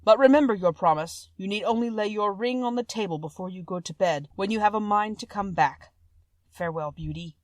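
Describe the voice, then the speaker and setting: A woman voicing a gentle, lovesick male beast in a storybook, mournful and humble, with formal old-fashioned speech. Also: mid-range voice, a little fast, no room sound, lightly acted. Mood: resigned, kind.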